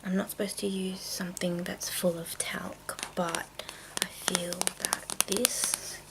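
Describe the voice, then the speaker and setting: Female ASMR host, hushed whisper with gravelly fry, halting and unhurried delivery. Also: on the low side, little pitch movement, low-quality recording. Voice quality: breathy whisper with creaky edges. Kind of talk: ASMR makeup show-and-tell.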